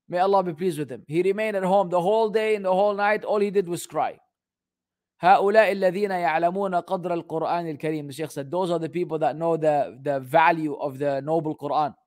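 A man giving an Islamic religious lesson as a teacher, moving between Arabic text and English translation. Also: sits high. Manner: halting English delivery, rapid fluent Arabic recitation.

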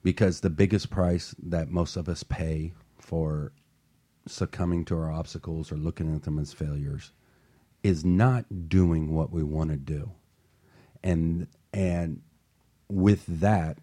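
A man's voice: low-pitched, slow pace, dark tone. A man hosting a motivational talk show and coaching, talking earnestly and building to passionate emphasis.

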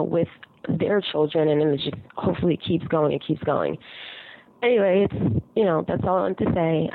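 Woman calling a voicemail, bit raspy from a cold, lots of ums, rambly, thin phone sound. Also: sits low. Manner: hesitant, frequent ums and pauses. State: raspy from getting over a cold. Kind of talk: casual rambling fan message. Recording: muffled phone voicemail, narrow band.

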